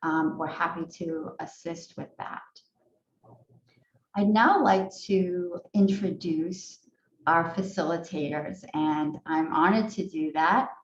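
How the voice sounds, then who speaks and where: A woman who directs training, opening a video-call session with polite, measured housekeeping notes and frequent fillers. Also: fairly low pitch, a little hiss or hum. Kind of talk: online training welcome and housekeeping. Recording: echoey room, dull lo-fi sound.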